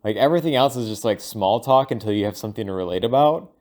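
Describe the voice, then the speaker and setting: A man thinking aloud in a casual, rambling audio log, halting and informal, sometimes voicing imagined lines of dialogue. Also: mid-range voice, expressive, a little mellow. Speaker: solo audio log host.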